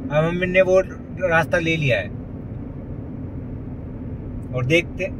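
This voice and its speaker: A man's voice: a little high, takes it slowly, background noise. Chatty man vlogging from a car. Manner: chatty, pointing things out to viewers, mixing in English. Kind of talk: casual narration while driving. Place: inside a car.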